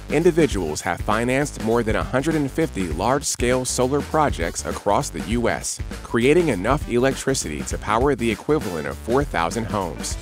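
A man reading a sponsor message in a smooth, measured way. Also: mid-range voice. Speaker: sponsor announcer. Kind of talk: scripted ad read. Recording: music bed under the voice.

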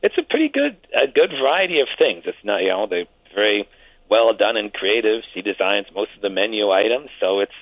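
Lively man rambling on a phone line. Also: fairly low pitch, fairly quick, no room sound. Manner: rambling, casual, lively intonation. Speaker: caller.

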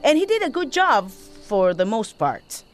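An actress playing a wry, judging narrator in a radio soap opera, commenting conversationally on the story. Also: female voice, on the high side, sing-song, moderate pace, faint music behind.

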